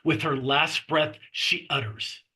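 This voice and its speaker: A man's voice: on the high side, steady tone, slow, pushed and bright. A man reading screenplay action lines and scene headings as narrator at a table read. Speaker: stage directions reader.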